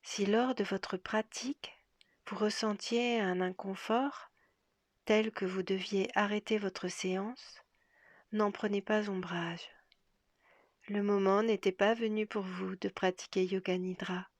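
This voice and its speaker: A woman guides a relaxation session in a soft, half-whispered voice with a very creaky edge. She speaks gently and very slowly, leaving long pauses between phrases. The recording is close and dry. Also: medium pitch, fairly even intonation, no echo. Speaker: yoga nidra guide.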